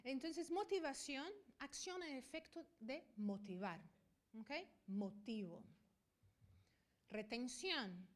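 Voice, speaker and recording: A middle-aged woman from Brazil, a Portuguese native speaker who speaks Spanish picked up in Spain, giving a conference talk as a veterinarian and professor, often checking in with a quick "ok?". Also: high-pitched. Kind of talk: conference presentation.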